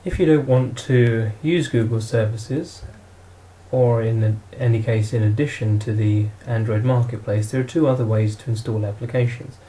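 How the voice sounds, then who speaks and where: A man's voice: mid-range voice, fairly even intonation, moderate pace, dark tone. A man narrating an instructional product walkthrough in a plain, matter-of-fact way, as a demonstrator.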